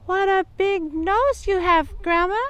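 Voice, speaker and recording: A woman voicing a plucky young cowgirl with a high, chirpy way of exclaiming. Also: slow, a bit bright, no room sound, muffled recording, heavily acted. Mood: plucky bright.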